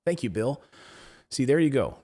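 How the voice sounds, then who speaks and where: A man hosting a live investing show, chatting casually, rambling with lots of fillers and restarts, his voice going hoarse. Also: medium pitch, big pitch swings, moderate pace, mellow. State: losing his voice. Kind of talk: casual live-stream chat, reading viewer comments.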